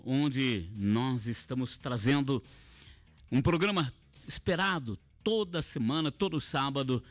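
A man hosting a live radio interview show from the studio, talking unhurriedly and repeating phrases and numbers. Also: mid-range voice.

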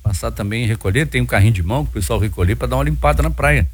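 A man's voice: medium pitch, expressive, very fast, slightly rough. A man with a Brazilian accent hosting a radio talk show, chatty and full of filler words.

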